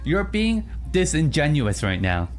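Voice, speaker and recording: A streamer in casual live-stream chatter, teasing chat and answering in mock outrage between low, mumbled musings. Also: very animated, unhurried. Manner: reacts to chat, teasing, mock-indignant bursts.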